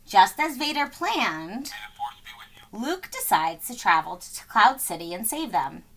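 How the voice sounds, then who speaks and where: Expressive woman reading a story, lively pitch. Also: slow pace. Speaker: storyteller reading a children's book aloud. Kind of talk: expressive scripted read-aloud narration.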